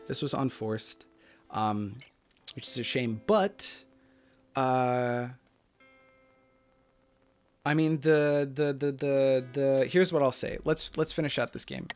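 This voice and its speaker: A young man, a streamer, talks through a chess game at an average pitch, circling back with fillers and restarts. His throat is strained from talking for hours. Faint music plays behind him. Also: slow pace, pauses often. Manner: rambling, with many fillers and restarts. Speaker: streamer reviewing chess games. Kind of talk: casual game analysis.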